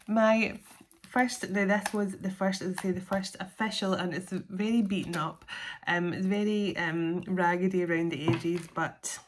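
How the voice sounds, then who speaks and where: A woman's voice: on the low side, noisy background. A middle-aged woman doing a solo tarot reading to camera, chatty and self-deprecating, laughing often between cards. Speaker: tarot reader.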